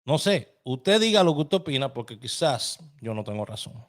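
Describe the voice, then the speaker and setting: Un hombre dominicano de mediana edad que presenta en vivo un programa de opinión, hablando con pasión y en tono coloquial, directo a su público. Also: male voice, mid-range voice, very animated, moderate pace. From Dominican Republic. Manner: impassioned, colloquial, addresses listeners directly. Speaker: host. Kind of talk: live opinion commentary.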